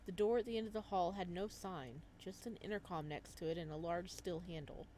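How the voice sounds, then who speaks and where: A woman hosting a video game playthrough, reading the story aloud as expressive narration and reacting as she goes. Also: on the low side, brisk, slight background noise. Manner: expressive, slips into character voices. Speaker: gaming video host reading a visual novel aloud.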